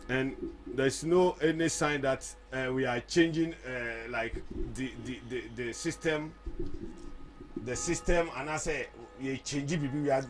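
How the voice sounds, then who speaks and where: A man from Ghana with a Ghanaian accent, a doctor, answers a talk show host's questions. He hesitates, restarts and repeats words as he explains. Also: medium pitch. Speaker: guest, a doctor.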